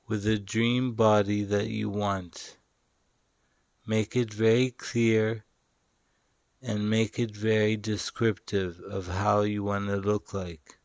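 Male meditation guide: very slow, flat, soothing delivery, long pauses between simple instructions, dry recording. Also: on the low side, dry recording. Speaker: meditation guide and show host.

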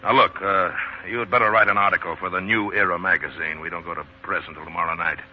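An actor playing a bossy middle-aged American newspaper publisher turned politician in 1940s radio style. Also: male voice, sits low, steady tone, fast, a little hiss or hum, close to an everyday voice. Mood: irritated, commanding. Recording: muffled 1940s radio recording.

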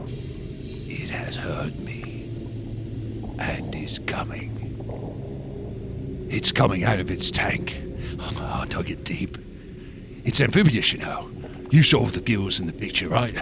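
An actor playing a deranged, fanatical wax sculptor in a horror radio drama, theatrical and gloating. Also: male voice, sits low, lively intonation, slow pace, vocal fry, long pauses, full character voice. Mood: hushed zealous menace. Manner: theatrical, swinging from whispers to shrieks, cackling.

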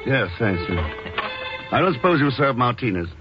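An actor playing an unflappable secret agent in a 1950s radio drama, with a dry, sardonic and suave manner. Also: male voice, medium pitch, very animated, moderate pace, music in the background, natural voice. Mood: dry, weary. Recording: muffled old radio recording.

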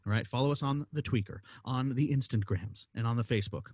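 A man's voice: medium pitch, fast talker, breathy edge, no room sound, clean studio sound. A man co-hosting a casual podcast, joking and teasing his co-host, often repeating phrases. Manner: jokey, animated, repeats phrases.

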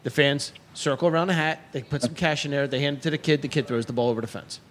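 A man co-hosting a casual sports podcast, blunt and opinionated, with quick remarks. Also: on the high side, slight background noise. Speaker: podcast co-host.

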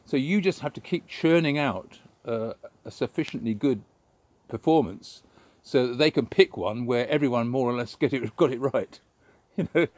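A man's voice: mid-range voice, moderate pace, darkish tone, no room sound. Reflective man musing, dry sound. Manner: reflective, loose, full of fillers like "you know". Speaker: puppeteer. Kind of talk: casual interview chat.

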